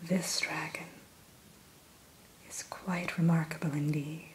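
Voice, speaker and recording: A woman whispering softly as a dragon hatchery keeper in an ASMR roleplay, gentle and a little formal, close to the mic. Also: sits low, little pitch movement, slight background noise, poor audio. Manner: soft whisper throughout; gentle, unhurried, mildly formal.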